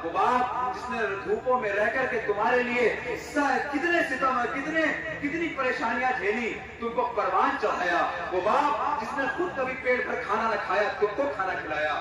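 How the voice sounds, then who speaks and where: A young man with a very high voice delivers a fiery Eid sermon, hammering out repeated phrases in shouted waves. The recording is drenched in heavy hall echo, with the mic set far off. A loud crowd fills the background. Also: lively intonation, moderate pace, few pauses. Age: young adult. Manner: impassioned, shouted oratory with rhythmic repetition. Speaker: preacher.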